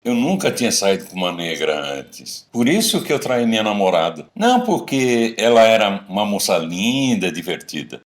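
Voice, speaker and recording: A man acts out a middle-aged Brazilian storyteller among old drinking friends. His voice sits on the low side and swings widely in pitch. He talks colloquially, as in a radio drama about confessions. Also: big pitch swings, moderate pace, hardly any pauses. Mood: confessional. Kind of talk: radio drama acting, casual bar chat.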